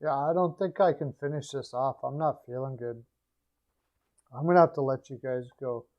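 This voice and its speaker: Man, hoarse voice, tired hesitant stream host, muffled lo-fi audio. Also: normal speed. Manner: low-energy, trailing off, hesitant restarts. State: hoarse, losing his voice. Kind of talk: casual hockey chat with viewers.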